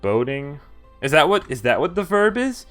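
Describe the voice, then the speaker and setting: A man's voice: on the high side, very animated, normal speed, a bit bright, faint music behind. A man giving casual, chatty livestream commentary on a visual novel, breaking off into asides. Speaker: game streamer.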